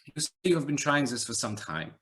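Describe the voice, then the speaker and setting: A man's voice: medium pitch, very animated, brisk, fairly bright tone, voice pushed up. A man voicing a pale, arch, philosophical man with a slight Eastern European accent. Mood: musing. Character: pale, arch man with answers, older-sounding. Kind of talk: audiobook reading.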